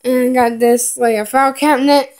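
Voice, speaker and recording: A woman filming a tour of her house on a handheld phone as she walks from room to room. She talks haltingly, with many fillers, and names items one at a time. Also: high-pitched, fairly even intonation, darkish tone. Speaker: vlogger giving a home tour. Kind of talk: casual home tour narration. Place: house rooms, indoors. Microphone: handheld phone camera, filming while walking.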